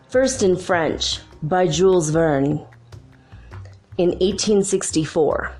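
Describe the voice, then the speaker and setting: A woman's voice: lowish voice, warm tone. A woman hosting a solo podcast, talking in a rambling, chatty way while congested. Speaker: podcast host. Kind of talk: casual solo podcast chat.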